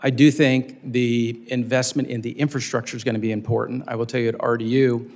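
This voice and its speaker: An American man gives explanatory testimony as a witness. His mid-pitched voice is gravelly with fry and stays fairly flat. He is plain-spoken and practical. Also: brisk. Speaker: airport executive testifying as witness.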